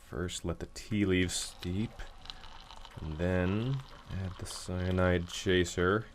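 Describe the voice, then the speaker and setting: An actor playing a dutiful but quietly resentful grown son in an audio suspense drama, obsequious with a sinister edge. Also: male voice, deep voice, fairly even intonation, slow, a little hiss or hum. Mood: sinister, plotting.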